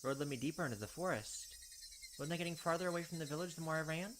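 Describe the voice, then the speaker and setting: A man's voice: fairly high pitch, fast, noisy background. A man hosting a let's play, reading the game's narration aloud and adding wry comments in between. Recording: game audio with loud cricket ambience.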